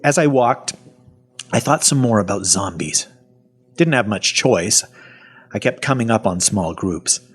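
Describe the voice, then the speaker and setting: Wry, cynical half-zombie survivor played by a man, husky voice, animated swings in pitch, first-person audio drama. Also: a little slow, long pauses, soft background music. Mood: matter-of-fact, wry. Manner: sardonic, colloquial. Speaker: voice actor and narrator. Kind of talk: first-person audio fiction narration and dialogue.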